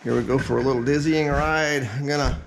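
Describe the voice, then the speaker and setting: A man's voice: mid-range voice, steady tone, fairly quick. A middle-aged man gives a casual, folksy hands-on how-to, half muttering to himself as he works. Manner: folksy, informal, muttering to himself while working. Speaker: DIY vlogger. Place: indoor home garage workshop. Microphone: action camera, on tripod or handheld, varying distance. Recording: roomy echo, clear noise, handling clicks.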